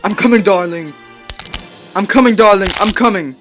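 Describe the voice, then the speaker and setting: A man's voice: high-pitched, normal speed, loud music behind the voice, close to an everyday voice. An actor playing a tormented, guilt-ridden man of science, fervent and anguished in old-time radio drama style. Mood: frantic, grieving. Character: tormented, guilt-ridden male scientist haunted by his creation.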